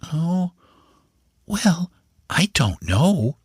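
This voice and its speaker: A man's voice: very animated, unhurried, no room sound, clean studio sound. A man doing a tense, anxious young boy. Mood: uneasy. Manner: high, strained, earnest.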